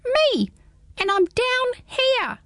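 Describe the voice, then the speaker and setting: A woman voicing a small, talkative, cheeky hedgehog in a storybook radio reading, with a high squeaky voice. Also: wildly swooping pitch, very slow, fairly bright tone, dry recording, studio quality, heavily acted. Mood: curious. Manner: fussy, talkative.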